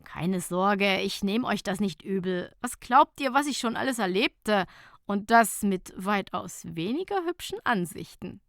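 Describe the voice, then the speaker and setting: A woman's voice: medium pitch, expressive, measured pace, creaky, fairly bright tone, no room sound, clean studio sound. A woman voicing a friendly, mischievous male pool attendant in a humorous audiobook, teasing and reassuring. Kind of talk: humorous audiobook character dialogue.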